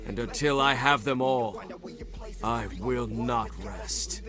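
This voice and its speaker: A grim, vengeful warrior. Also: male voice, medium pitch, slow, fairly bright tone, faint music behind. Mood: grim resolve. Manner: grave, terse, determined. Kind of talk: video game cutscene acting.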